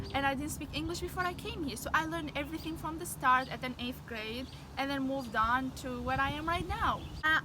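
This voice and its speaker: A high-pitched young woman in her late teens, Arabic her first language, gives earnest advice with a few restarts. She talks outdoors, and loud wind and passing cars rush under her voice. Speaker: vlogger. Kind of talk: casual advice vlog. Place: outdoors on a windy day. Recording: wind and traffic noise outdoors. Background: wind and passing vehicles.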